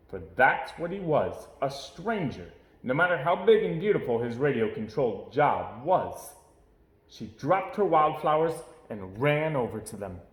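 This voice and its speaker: Casting: male children's story narrator, highly expressive intonation, recorded in an echoey room. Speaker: storybook reader. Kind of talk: animated children's read-aloud narration.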